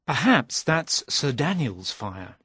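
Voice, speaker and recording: A man voicing an earnest, brave young male knight in an audiobook, with a husky voice. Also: medium pitch, big pitch swings, slow, a bit bright, no room sound, clean studio sound, voice pushed up, full character voice. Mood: hushed. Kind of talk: audiobook character reading.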